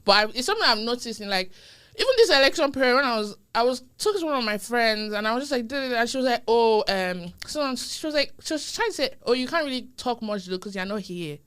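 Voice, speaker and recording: Animated, clear-voiced young Nigerian woman. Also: fairly high pitch, quick pace. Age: young adult. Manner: animated, emphatic storytelling. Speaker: podcast speaker. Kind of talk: casual conversation.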